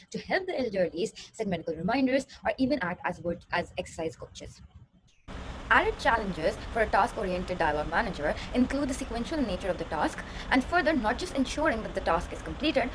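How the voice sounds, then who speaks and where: A young woman, a PhD student, giving a rehearsed research presentation. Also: mid-range voice. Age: young adult. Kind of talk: rehearsed conference talk. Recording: clearly noisy recording.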